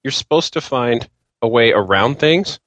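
A man's voice: medium pitch, lively intonation, moderate pace, a bit bright, no echo, highs cut off. Casual male podcast panelist, very dry sound. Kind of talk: casual chat about a video game.

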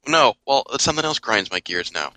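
A man's voice: mid-range voice, animated, fairly quick, pushed and bright, no room sound. A man joking and griping casually as a panelist on a talk show. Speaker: podcast panelist. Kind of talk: casual chat, joking gripes.

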